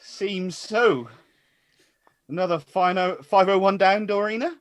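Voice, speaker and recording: A man's voice: sits high, measured pace. A man playing a good-natured, bantering pub regular in a radio comedy. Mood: easygoing. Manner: bantering, chuckly. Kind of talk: radio comedy play reading.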